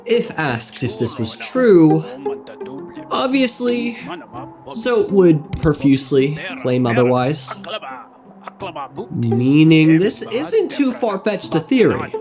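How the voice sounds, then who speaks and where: A male video essay narrator with a slightly high, very expressive voice. He delivers scripted game lore slowly and wryly, with theatrical pauses. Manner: theatrical, wry, dramatic pauses. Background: music.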